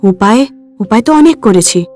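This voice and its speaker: A woman's voice: medium pitch, animated, normal speed, a little mellow. Woman narrating a cartoon horror story over music. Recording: music behind the voice.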